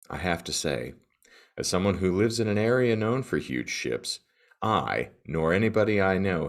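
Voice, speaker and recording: A man with a gravelly morning voice hosting a solo podcast, talking and reading aloud in a wordy, florid, tongue-in-cheek manner. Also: lowish voice. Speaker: podcast co-host. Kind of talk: solo podcast monologue, comic reading of reviews.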